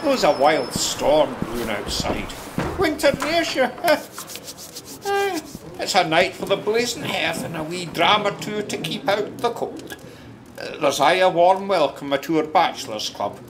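A man's voice: high-pitched, sing-song, unhurried, background noise. A man with a Scottish accent playing a convivial, folksy narrator telling tales by the fireside in a radio play. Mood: hospitable, storytelling. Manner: leisurely, hospitable, folksy. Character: convivial Scottish fireside storyteller, fond of a dram. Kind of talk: storytelling in an audio drama.

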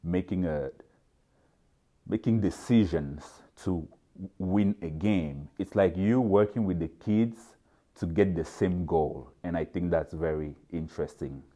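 A man in his thirties, originally from Cameroon, speaking in a relaxed, thoughtful way during a seated documentary interview in a large indoor lounge. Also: deep voice, a little slow. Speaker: dorm parent.

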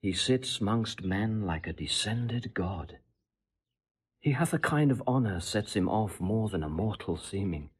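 Husky, scheming Roman seducer. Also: male voice, fairly low pitch, expressive, a little slow. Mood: effusive praise. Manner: theatrical, declamatory, elaborate courtly phrasing. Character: smooth, scheming Roman seducer, flattering and insinuating. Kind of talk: classical Shakespearean audio drama acting.

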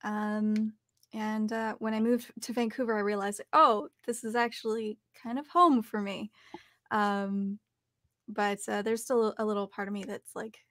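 A woman's voice: mid-range voice, fairly even intonation, measured pace, a bit bright, no room sound. A young adult woman with a Canadian accent, chatting casually as an interview guest. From Calgary, Canada. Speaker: interview guest, actor and voice artist. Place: small home studio, video call.

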